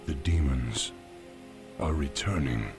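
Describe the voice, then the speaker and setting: An actor voicing a young male orc shaman war chief, grave and proud, with a gravelly, guttural delivery, in a fantasy game. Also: extremely low pitch, takes it slowly. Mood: questioning, grave. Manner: solemn, commanding. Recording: game audio under loud music.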